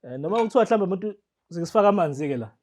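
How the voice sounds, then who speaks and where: A man's voice: sits high, lively intonation, racing, darkish tone. A man with a South African accent explaining things informally as a radio drama technician, switching often into English. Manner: mixes English into Zulu. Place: indoor radio studio.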